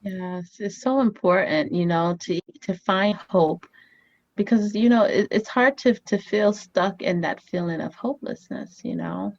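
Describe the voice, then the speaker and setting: A woman's voice: mid-range voice, unhurried, dry recording, dull audio. A woman in her thirties whose first language is Haitian Creole, a family therapist telling stories as an interview guest with lots of "you know" fillers. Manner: animated storyteller, many "you know" fillers.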